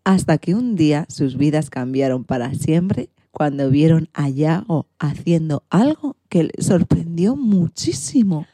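A woman narrating a children's radio story in a deliberate, expressive storytelling manner. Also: sits low, slightly rough, no echo.

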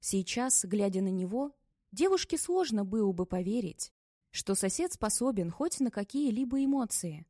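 A woman reads a story aloud in a steady, measured narrator's voice. Her tone is soft and warm, and the recording is dry and close. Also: mid-range voice, dry recording. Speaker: audiobook narrator. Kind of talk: scripted audiobook narration.

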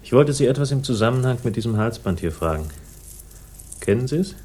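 A man playing a dry, wry, laconic private investigator in a 1960s German radio drama, deadpan and understated. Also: mid-range voice, brisk, close to an everyday voice. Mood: cool, probing. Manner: deadpan, understated, clipped replies. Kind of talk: 1960s German radio drama acting and first-person narration. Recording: 1960s radio recording with faint noise.

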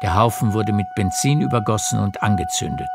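A man narrating a literary radio play in a measured storytelling manner. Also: lowish voice, vocal fry. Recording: music behind the voice. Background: music.

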